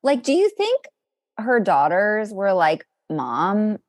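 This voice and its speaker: A woman's voice: medium pitch, wildly swooping pitch, slow, no echo. A woman co-hosting a podcast, chatting casually and reading aloud with some drama, with dry, playful commentary. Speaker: podcast co-host.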